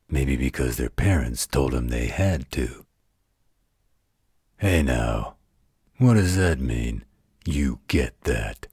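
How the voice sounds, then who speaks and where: A man voicing a gruff, protective werewolf guardian in an intimate bedtime roleplay, coaxing with patient, mock-stern fondness. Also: darkish tone, no echo, studio quality, exaggerated voice. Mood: flustered, teasing. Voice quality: very deep, gravelly, husky. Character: gruff, protective werewolf guardian, fondly exasperated parental figure.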